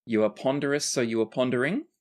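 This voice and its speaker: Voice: mid-range voice, quick pace. A game master narrating a tabletop roleplaying game in a conversational way.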